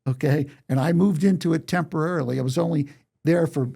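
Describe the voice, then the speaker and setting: A man's voice: fairly high pitch, brisk, vocal fry, dark tone. A man in his eighties telling stories from his life in a conversational interview, quoting remembered exchanges as he goes. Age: 80s. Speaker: interview guest, songwriter and storyteller.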